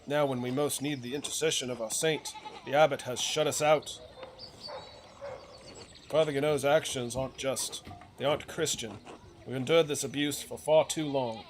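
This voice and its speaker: A man's voice: medium pitch, fairly even intonation, normal speed. A man reading game dialogue aloud in a theatrical way, occasionally stumbling over words and correcting himself. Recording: noisy recording with clear background sound.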